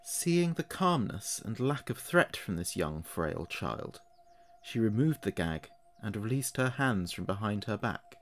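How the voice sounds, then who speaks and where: A man narrating a scripted horror story in a slow, hushed manner. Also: lowish voice, very animated.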